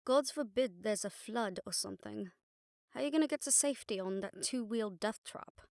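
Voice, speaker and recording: A woman playing an exasperated, sharp-tongued witch detective in an audio roleplay, talking casually and wryly to a partner. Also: medium pitch, moderate pace, creaky, no room sound, studio quality, close to an everyday voice. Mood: exasperated, scolding. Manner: casual, wry, mildly swearing, explanatory. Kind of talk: conversational audio roleplay acting.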